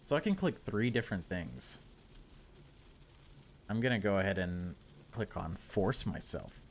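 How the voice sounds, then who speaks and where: A man reading a horror visual novel aloud as a let's-play narrator, in a theatrical, hushed storytelling style. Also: sits low, lively intonation, a little slow, a little hiss or hum.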